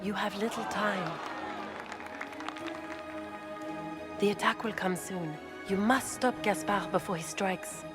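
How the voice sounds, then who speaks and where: An actress playing a scheming aristocratic grand duchess in a video game, with a French accent and formal, measured, insinuating speech. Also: female voice, mid-range voice, music in the background, close to an everyday voice. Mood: urgent, conspiratorial. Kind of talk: video game character acting.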